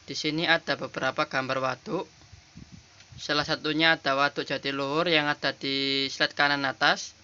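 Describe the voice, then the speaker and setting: A young man giving a halting, polite class presentation over slides, his voice hoarse from a cold, recorded while minding a small shop. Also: fairly high pitch, pushed and bright, a little hiss or hum. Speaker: student presenter. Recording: lo-fi home recording.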